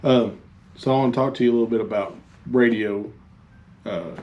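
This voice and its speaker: A man giving an informal instructional talk as a fire chief, halting and plain-spoken. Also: mid-range voice, highs cut off. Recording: faint background noise.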